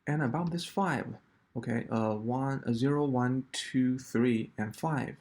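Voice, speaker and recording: A man walking through a coding solution step by step, in a halting explanatory way. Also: medium pitch, darkish tone. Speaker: programming tutor.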